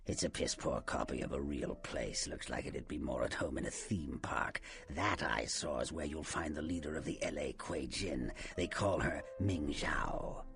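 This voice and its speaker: Casting: sly, sardonic old vampire for a game; extremely low, swooping, theatrical drawl, faint music behind. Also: male voice, creaky, a bit bright, exaggerated voice. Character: sly sardonic old vampire, faded film star turned sewer informant. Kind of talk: video game character acting.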